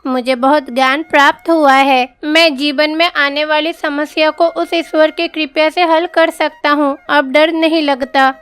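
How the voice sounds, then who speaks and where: A woman's voice: sits high, steady tone, measured pace, few pauses, fairly bright tone. A woman voicing an earnest, respectful, anxious schoolchild in a storybook tale. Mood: relieved, earnest. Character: earnest, respectful, anxious schoolchildren seeking their teacher's guidance.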